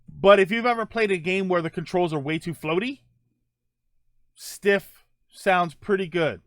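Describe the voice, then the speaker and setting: A man's voice: high-pitched, measured pace. A middle-aged man hosting a casual podcast, rambling through viewer comments with many fillers and self-corrections. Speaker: podcast host.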